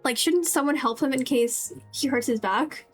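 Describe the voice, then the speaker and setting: Woman game streamer w a high voice, rambling casually to chat, music playing behind her. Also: moderate pace, husky. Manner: chatty, rambling to viewers. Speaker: livestreamer playing a video game.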